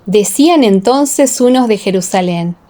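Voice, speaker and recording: A woman reading a dramatized Bible audiobook as narrator, in a solemn, measured storytelling manner. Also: mid-range voice, lively intonation, mellow. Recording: echoing, long reverb.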